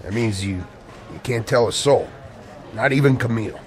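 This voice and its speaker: A man's voice: medium pitch, normal speed, close to an everyday voice. An actor playing an edgy, secretive man in radio play dialogue, coy and evasive with an old friend. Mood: secretive, hesitant. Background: music.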